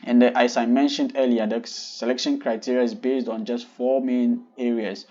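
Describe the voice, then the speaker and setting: A man's voice: medium pitch, level tone, moderate pace, darkish tone, lo-fi sound. A young man from Ghana hosting his own channel, earnestly walking viewers through funding details step by step in a polite, explanatory way. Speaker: channel host. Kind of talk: scholarship explainer vlog.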